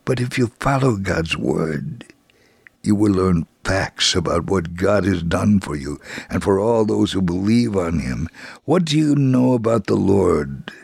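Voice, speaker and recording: A man reading a scripted story for radio as narrator, solemn and measured, with a gravelly, husky voice. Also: deep voice, animated, a little mellow, no room sound.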